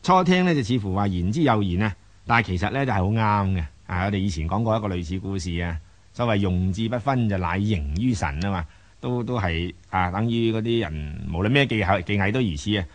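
Low-voiced Hong Kong man commenting casually. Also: lively intonation, brisk. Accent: Hong Kong Cantonese. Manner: rambling, colloquial, many particles and repeats. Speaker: radio storytelling host and commentator. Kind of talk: conversational commentary on a fable.

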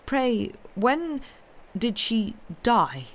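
Browbeaten, dazed man for an audiobook, acted by the narrator: high-pitched, halting, mumbled delivery. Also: expressive. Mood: timid, wary. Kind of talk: dramatic audiobook character voice.

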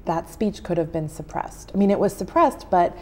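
An American woman in her thirties, a journalist answering interview questions in a TV studio, thoughtful with frequent pauses, on a lapel mic. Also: lowish voice. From United States. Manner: thoughtful, many "um" pauses, gestures while talking. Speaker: journalist guest. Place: TV studio set.

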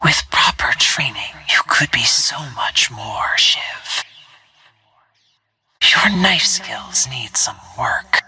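An actress voicing a cold, self-assured assassin soldier in a video game, with a breathy, clipped, deliberate delivery. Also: female voice, very deep voice, expressive, sharp tone, no room sound, full character voice. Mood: contemptuous. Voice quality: breathy, whispery. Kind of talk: scripted video game voice lines.

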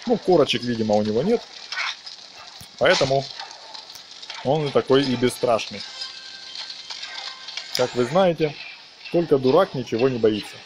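A man narrates his video game in a casual let's play style. He talks along with the action playfully, in a mid-pitched voice. The recording is clearly noisy, with game sounds behind him. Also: animated, moderate pace, pauses often. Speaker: gameplay commentator.

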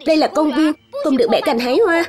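A woman's voice: high-pitched, fast talker, music in the background, close to an everyday voice. A woman voicing an earnest, insistent little boy who is proud to lead, in a dubbed children's cartoon. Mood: bossy, stern. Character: earnest, dutiful little boy, proud young group leader.

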